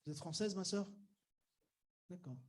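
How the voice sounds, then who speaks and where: High-voiced man preaching conversationally. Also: unhurried. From Algeria. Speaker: pastor. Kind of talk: sermon. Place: church.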